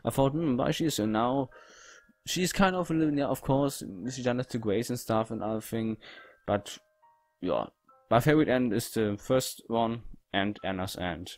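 A man giving casual game commentary in halting, non-native English phrasing. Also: medium pitch, no echo, faint music behind. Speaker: gaming video host.

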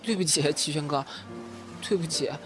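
A man's voice: on the high side, normal speed, over music, natural voice. Audio drama role: timid, apologetic male rookie, easily flustered, acted by a man. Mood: embarrassed. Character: shy, flustered young male rookie actor.

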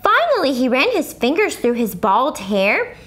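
Middle-aged woman, story-time host, high voice, animated intonation, expressive reading for children. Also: a little slow. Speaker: read-aloud host. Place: living room indoors. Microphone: lapel.

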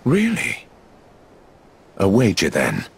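An actor playing a smug, taunting gambler villain in a video game, suave and theatrical, with a husky voice and drawn-out phrasing. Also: male voice, low voice, wildly swooping pitch, exaggerated voice. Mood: sly, suave.

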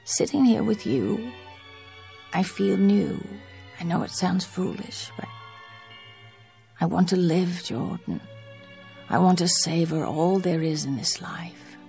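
Radio drama of a flirtatious female movie star who never seems to age. Her voice is breathy and slips into whispers, with a gravelly edge at the bottom. Soft music plays beneath her lines. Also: fairly low pitch, slow pace, long pauses. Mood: coy. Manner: flirtatious, coy. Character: ageless, seductive female film star.

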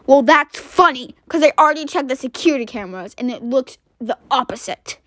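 Menacing villain father for a toy-app drama, cowardly underneath, very animated, dry sound female performer. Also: high-pitched, a little fast, dry recording. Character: menacing male kidnapper father, cowardly when caught. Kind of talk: amateur toy-app roleplay voice acting.

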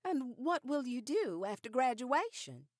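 A woman's voice: high-pitched, big pitch swings, measured pace, dry recording, clean studio sound, exaggerated voice. An actress playing a poised, formidable older activist lady of the 1910s with formal, precise diction in a radio drama. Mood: gracious. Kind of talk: period radio drama acting.

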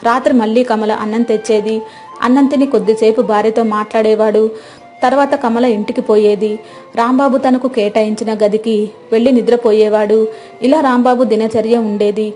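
A woman's voice: fairly high pitch, steady tone, fairly quick, warm tone, faint music behind. A woman narrating a scripted moral story as a storyteller.

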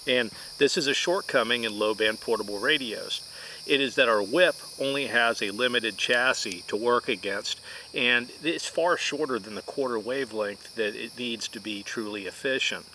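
A man's voice: mid-range voice, moderate pace, sharp tone, a little hiss or hum, rough recording. A middle-aged man giving scripted technical narration in precise, formal wording as host of a radio-testing video.

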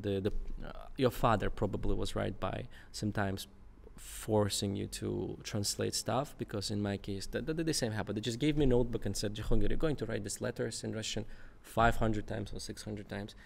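A man's voice: fairly low pitch, fairly even intonation, a little fast, gravelly, hardly any pauses. A young man talking as a podcast guest in a studio, thoughtful, with many fillers and restarts. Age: young adult. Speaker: podcast guest, English teacher. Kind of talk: casual conversation. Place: dark studio. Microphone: boom mic in front.

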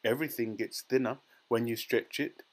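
A man's voice: medium pitch, fairly even intonation, moderate pace, close to an everyday voice. Eccentric storybook inventor, done by a man: breezy, exclamatory, cheerfully unbothered. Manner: exclamatory, airy, matter-of-fact about disaster. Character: eccentric, breezy male chocolate maker, excitable and unbothered.

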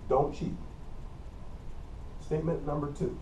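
A man's voice: fairly high pitch, little pitch movement, measured pace, dark tone. Midwestern male instructor, roomy and distant. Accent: Midwestern American. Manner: plain, repetitive teacherly explaining with frequent coughs. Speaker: college communication instructor. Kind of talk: recorded classroom lecture. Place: empty classroom with whiteboard and air conditioner. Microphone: camera mic from across the room. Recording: roomy, distant camera audio with faint hum.

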